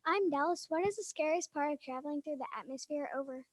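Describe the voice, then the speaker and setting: High-voiced female student, very noisy recording. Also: quick pace. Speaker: student asking questions. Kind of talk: prepared questions over radio. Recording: very noisy radio contact audio, clicks.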